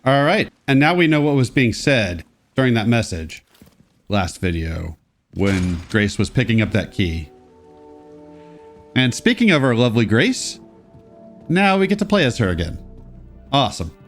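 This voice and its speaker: A congested young man talks through a game with casual, sarcastic asides. His pitch moves around a lot as he comments. Faint music plays behind him. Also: sing-song, a little slow, pauses often. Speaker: let's play host. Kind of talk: gameplay commentary.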